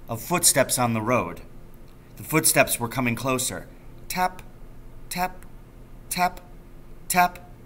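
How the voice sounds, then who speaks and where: A man's voice: mid-range voice, slight background noise. A middle-aged man reading a children's story aloud expressively, like a teacher, in a small room at a desk. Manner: expressive reading, calls out the dialogue. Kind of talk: storybook read-aloud narration. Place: small office or classroom indoors.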